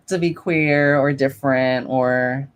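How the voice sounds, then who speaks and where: A low-pitched woman talking as a guest in a relaxed interview. She speaks thoughtfully and hesitantly, with lots of fillers as she works out her point. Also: slow pace. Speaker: guest, drag performer. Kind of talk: casual interview chat.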